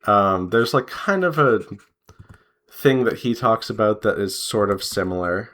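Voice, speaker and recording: Guy with a lowish voice doing a laid back art devlog, talks really slow, rambles and stops mid sentence. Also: expressive, fairly bright tone. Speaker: artist and devlog host. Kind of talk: casual chat while drawing.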